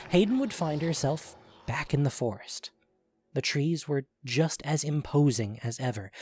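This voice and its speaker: A man narrating a scripted audio drama in an expressive, measured storytelling manner. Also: fairly high pitch, no echo, studio quality.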